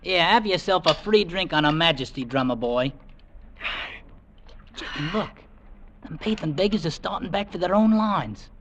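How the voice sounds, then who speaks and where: Brash cockney soldier boy, sharp tongue, really animated, old radio drama recording. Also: on the high side, normal speed, long pauses, a little hiss or hum. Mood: bossy, scornful.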